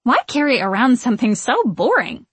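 A woman's voice: a little high, very animated, moderate pace, a bit bright, slightly rough, dry recording, pitched above the performer's own voice, exaggerated voice. A woman playing a childlike, giddy, curious witch in an audiobook, talking with airy, naive wonder. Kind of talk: audiobook character acting.

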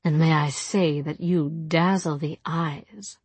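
Suave young gentleman, read by a female narrator: low, husky, softly condescending, possessive audiobook dialogue. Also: moderate pace, dry recording. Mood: intimate, admiring.

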